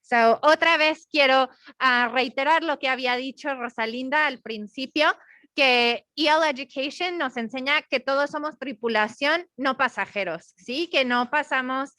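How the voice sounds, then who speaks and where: A woman's voice: sits high, moderate pace, bright, forceful tone, no room sound. A woman, a school administrator, introducing her new duties to parents in Spanish and then in English. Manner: says each point in Spanish and then English. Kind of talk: bilingual staff announcement.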